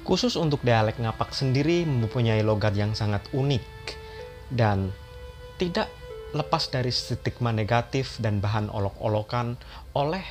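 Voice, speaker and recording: A man narrating an educational history video as a voice-over, in a calm and steady explaining manner. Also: lowish voice. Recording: voice-over with loud background music.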